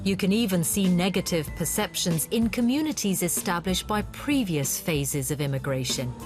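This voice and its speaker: A woman delivering scripted, measured narration for a television news documentary. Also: fairly low pitch, over loud music.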